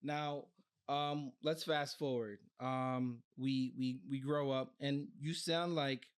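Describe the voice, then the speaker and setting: A man's voice: medium pitch, steady tone, takes it slowly, smooth. A man with an American accent, hosting a podcast as a conversational interviewer. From Brooklyn, New York, United States. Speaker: podcast host interviewing a guest.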